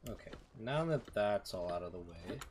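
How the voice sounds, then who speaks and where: Guy streaming a game, lowish voice that swings around, keys clacking in the noise. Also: lively intonation, moderate pace. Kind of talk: casual gameplay commentary. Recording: noisy mic with keyboard clicks. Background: typing, mouse clicks, background noise.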